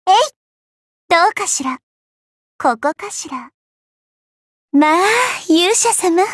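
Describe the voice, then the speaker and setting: A woman's voice: very high-pitched, expressive, slow, fairly bright tone, no room sound, clean studio sound, full character voice. An actress playing a dainty, girlish goddess in an anime game, elegant, coy and teasing, with polite ladylike phrasing. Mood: playful, coy. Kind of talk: anime mobile game battle voice lines. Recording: game audio with music behind.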